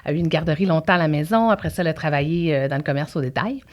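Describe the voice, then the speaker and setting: A woman from Quebec with a Québécois French accent, a guest entrepreneur telling her story in an energetic, talkative way. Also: sits low, rapid-fire, highs cut off. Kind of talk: casual interview chat.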